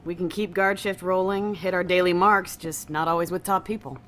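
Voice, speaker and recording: A woman's voice: on the low side, steady tone, moderate pace, fairly bright tone, close to an everyday voice. Informal, loyal female security deputy, played by an actress. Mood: matter-of-fact, puzzled. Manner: casual, colloquial, hesitant around her boss. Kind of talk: naturalistic science fiction audio drama acting.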